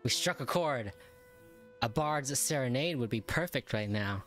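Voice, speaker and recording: Female game streamer: deep, playful, casual delivery over light game music. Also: animated, unhurried. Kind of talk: casual gameplay commentary, reading dialogue aloud.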